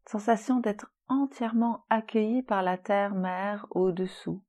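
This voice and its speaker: A woman leading a guided relaxation meditation in a soothing, gentle, unhurried manner with long pauses. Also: medium pitch. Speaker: yoga nidra relaxation guide.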